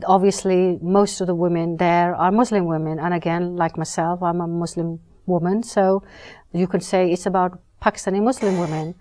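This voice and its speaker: A woman novelist from Britain, answering interview questions in a measured, reflective way with some restarts. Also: lowish voice, flat delivery, warm tone.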